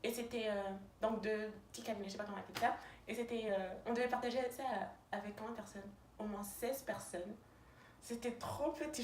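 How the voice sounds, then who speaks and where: Young woman, non-native french speaker, chatty roommate, highish voice, echoey room with faint hiss. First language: neither French nor English. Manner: chatty, many fillers and restarts. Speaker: roommate answering questions. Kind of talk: casual chat.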